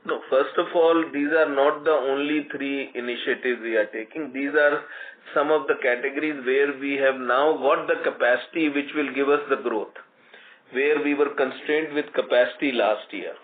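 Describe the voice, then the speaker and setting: A man's voice: mid-range voice, fairly even intonation, normal speed. A man speaking as a company executive, answering analysts' questions on a conference call. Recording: tinny phone line with clear noise.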